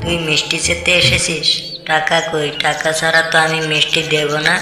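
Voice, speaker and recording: A woman voicing a short-tempered male village sweet-seller in a gruff cartoon folk-tale manner. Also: low voice, level tone, moderate pace. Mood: irritated, scolding. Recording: echoey, loud music underneath.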